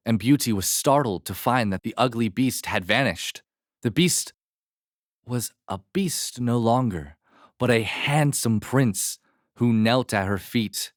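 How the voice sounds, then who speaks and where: A man narrating a fairy tale as a storyteller, in a measured, expressive manner. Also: medium pitch, no room sound, studio quality.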